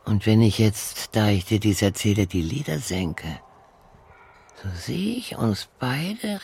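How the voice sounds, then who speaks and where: An older actress playing an elderly former nanny who recalls the past in a reflective, deliberate radio drama monologue. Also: female voice, very deep voice, vocal fry, a little mellow, husky, full character voice. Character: elderly former nanny, wistfully recalling pre-war Prague.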